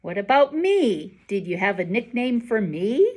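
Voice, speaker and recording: A woman's voice: medium pitch, very animated, measured pace, darkish tone. Woman voicing a bossy, indignant school girl. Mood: demanding. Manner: demanding, blunt.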